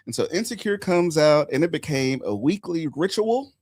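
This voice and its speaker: Host, man; casual and emphatic, lively pitch, dry room. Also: normal speed, no echo. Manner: animated storytelling, emphatic asides. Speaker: podcast host. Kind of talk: casual live chat.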